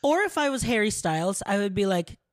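A woman's voice: medium pitch, sing-song, no room sound, studio quality. A woman co-hosting a casual reaction chat, jumping in eagerly and agreeing often. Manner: enthusiastic, quick to agree and chime in. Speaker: podcast co-host.

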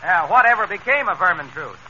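Bright, eager young American attendant. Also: male voice, sits high, very animated, a little fast, exaggerated voice. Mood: earnest curiosity. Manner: deferential, earnest. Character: polite, eager young filling-station attendant. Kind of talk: 1930s radio comedy acting. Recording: dull, noisy vintage American radio recording.

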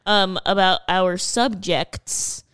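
A woman's voice: mid-range voice, slow, fairly bright tone, smooth, dry recording, studio quality. A woman co-hosting a podcast, chatting casually and playfully, often joking and laughing. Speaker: podcast co-host. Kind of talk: casual chat.

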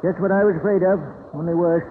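An actor playing a plain-spoken, worried New farmer with a folksy, terse in an old radio play. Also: male voice, sits high, level tone, fast, natural voice. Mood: grim, alarmed. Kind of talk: 1940s radio acting. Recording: noisy, tinny old radio recording.

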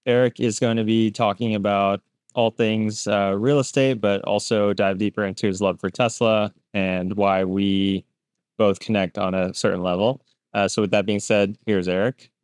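A man's voice: lowish voice, little pitch movement, normal speed, a little mellow, clear, resonant tone, no echo, clean studio sound. A man hosting a casual podcast, introducing his guest and asking friendly questions with a few fillers. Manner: friendly, short prompts, fillers. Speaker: podcast host.

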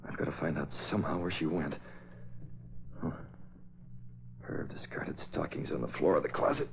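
A muffled, hissy vintage radio recording of a shrewd masked hero. His voice is deep and deliberate, with a commanding, old-time serial delivery. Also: vocal fry, full character voice. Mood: hushed, musing. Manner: deliberate, thinking aloud, commanding. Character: resolute, shrewd masked crime-fighter hero. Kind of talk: 1940s radio acting.

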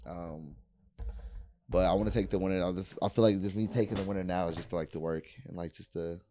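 A young man in his twenties with a lowish voice, chatting casually on a podcast. He rambles through slang and fillers in a relaxed, loose way. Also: fast talker, slight background noise. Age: 20s. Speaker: podcast host. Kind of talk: casual chat.

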